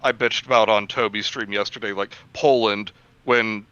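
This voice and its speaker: Bright-voiced man on a dull lo-fi line. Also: on the low side, fairly even intonation, normal speed, smooth, dry recording. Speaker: guest co-host. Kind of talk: casual chat.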